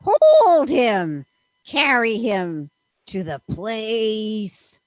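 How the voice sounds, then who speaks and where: A woman playing a haughty, pale ghost in a radio play, with theatrical, disdainful, drawn-out delivery. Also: fairly high pitch, big pitch swings, darkish tone, no echo, heavily acted. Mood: commanding.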